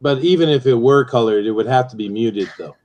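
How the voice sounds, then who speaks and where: A man's voice: medium pitch, fairly quick, a little mellow, muffled recording. A man with an ordinary mid-range voice chats on a live stream as the guest writer. His manner is easygoing, and he mostly gives short replies. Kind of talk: casual chat on a live stream.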